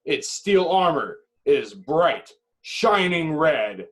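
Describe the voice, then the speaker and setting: A man with a fairly high voice narrates an action screenplay. He reads the stage directions dramatically, as narrator for a table read. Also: big pitch swings, very slow, a bit bright.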